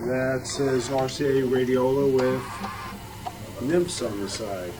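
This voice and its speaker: A man giving an informal show-and-tell talk as a club member, plainspoken and a little halting. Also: mid-range voice, background noise. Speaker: club member presenting a collectible. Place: club meeting room. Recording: picked up from a distance.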